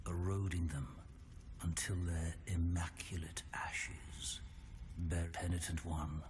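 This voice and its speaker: An actor playing a solemn, sorrowful undead old confessor in a dark fantasy game, speaking in a grave, archaic, deliberate manner. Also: male voice, low voice, a little hiss or hum. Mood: ominous.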